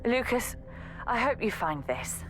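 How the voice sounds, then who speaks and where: A woman's voice: a little high, sing-song, a little slow, over music, poor audio. An actress playing an exasperated, protective young woman assassin in a video game audio log, scolding and pleading emphatically. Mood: apologetic, frustrated.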